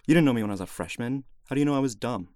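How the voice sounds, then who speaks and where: A man's voice: mid-range voice, expressive, racing, darkish tone, no room sound, natural voice. A young man acting as a laid-back but sulky college sophomore in an audio drama, casual, slangy and petulant. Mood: needling. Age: young adult.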